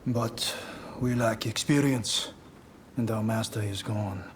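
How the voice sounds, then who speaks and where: An inexperienced, dutiful retainer in a dramatic game scene. He speaks in a low, respectful, formal voice with faint noise behind. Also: slow. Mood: uncertain, respectful. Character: dutiful, unsure young clansman who lost his master. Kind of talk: dramatic video game cutscene acting.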